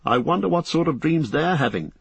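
A man voicing an anxious, well-spoken gentleman hobbit in storybook dialogue, with an earnest, exclaiming delivery. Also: fairly high pitch, expressive, brisk, creaky, slightly rough, no echo, pitched above the performer's own voice, full character voice. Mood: concerned. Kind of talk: acted audiobook dialogue.

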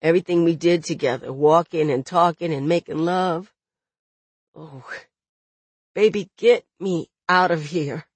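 A woman's voice: on the low side, measured pace, breathy edge, no room sound. A woman voicing a desperate young man in jail in an audiobook, angry and tender by turns, with urgent, streetwise phrasing. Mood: tender, apologetic. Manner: urgent, profane, vernacular phrasing. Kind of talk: dramatic audiobook character dialogue.

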